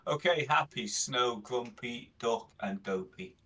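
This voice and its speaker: Bright, husky man with lively swings. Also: medium pitch, slow. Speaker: tabletop game player. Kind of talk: casual chat.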